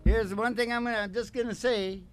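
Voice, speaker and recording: Male radio host, higher-pitched, folksy and irreverent, rambling casual talk, acoustic guitar around. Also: steady tone, brisk. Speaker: singing radio show host.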